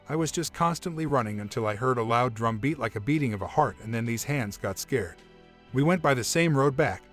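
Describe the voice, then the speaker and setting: A man reading a creepy first-person story aloud in a steady, even manner. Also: medium pitch. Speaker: narrator. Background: soft background music.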